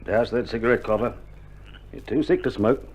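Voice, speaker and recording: A man's voice: mid-range voice, normal speed, darkish tone, breathy edge, lightly acted. An actor playing a wry, reassuring Australian army male nurse in a wartime radio drama, with laconic, joking banter, heard on an old vinyl record. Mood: firm, chiding. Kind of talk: 1960s radio drama acting. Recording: old vinyl recording, dull band, faint noise.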